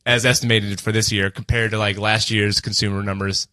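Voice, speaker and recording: A man's voice: fairly low pitch, flat delivery, a little fast, pushed and bright. Man hosting a casual podcast. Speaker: podcast host. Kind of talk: casual podcast chat, reading out statistics.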